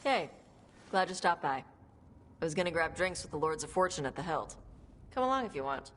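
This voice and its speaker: A woman's voice: low voice, big pitch swings, brisk, slight background noise. A woman playing a blunt, confident dragon hunter in a fantasy video game, speaking matter-of-factly. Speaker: voice actor. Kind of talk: video game cutscene acting.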